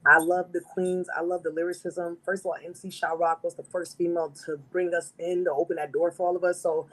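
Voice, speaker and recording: Confident raspy-voiced woman. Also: on the low side, level tone, fairly quick, a bit bright, rough recording. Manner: confident, emphatic. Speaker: guest artist. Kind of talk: interview answers.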